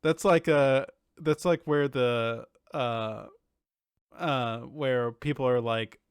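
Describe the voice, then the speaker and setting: Guy podcast cohost, medium pitch voice, kinda slow talker, jokey and swears, very dry sound. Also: clear, resonant tone, no echo. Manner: joking, sweary, laughs easily. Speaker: podcast co-host. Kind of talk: casual banter about video games.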